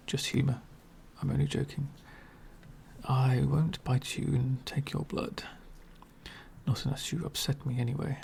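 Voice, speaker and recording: A man playing an elegant, centuries-old vampire host in an ASMR roleplay, lonely, wry and courtly, soft-spoken with frequent whispers and gentle condescension. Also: medium pitch, a little hiss or hum. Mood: wry, courteous. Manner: soft-spoken, often dropping into breathy whispers.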